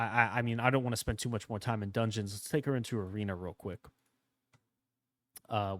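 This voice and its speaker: A man with a slightly hoarse voice from a starting cold, casually commenting on his gameplay as he thinks aloud. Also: fairly low pitch, no echo. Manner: rambling, relaxed, thinking aloud. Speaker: gaming channel host.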